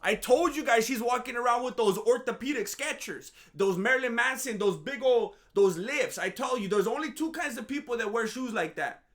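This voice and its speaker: A man's voice: very high voice, animated, moderate pace, hardly any pauses, fairly bright tone. A man rambling through a casual, joking commentary monologue, sarcastic and self-mocking, with frequent restarts. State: groggy after a nap. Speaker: MMA commentary vlogger.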